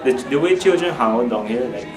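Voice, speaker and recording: A man's voice: medium pitch, expressive, a little fast, crowd noise. A young man from Guyana, a student answering interview questions in a loose, rambling way and laughing often. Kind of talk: casual street interview. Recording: echoey, distant, music over it.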